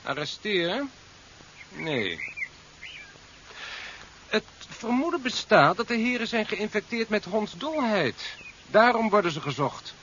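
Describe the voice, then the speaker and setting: A man's voice: high voice, big pitch swings, unhurried, long pauses, fairly bright tone, exaggerated voice. A man playing a suspicious, officious village policeman with a sinister edge in a 1970s radio drama. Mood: accusing, insistent. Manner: probing, officious. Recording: dull, faintly noisy 1970s radio recording.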